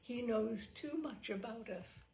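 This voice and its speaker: A woman's voice: on the high side, measured pace, creaky, a little mellow, slight background noise. A woman voicing a forthright, affectionate young woman in a storybook reading, plain-spoken and decisive. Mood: insistent, tender.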